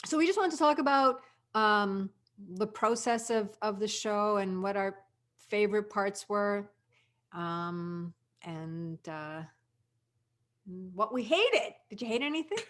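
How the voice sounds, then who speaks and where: A woman's voice: medium pitch, expressive, slow. A woman hosting a casual cast discussion, with a joking, teasing way of talking. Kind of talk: casual chat.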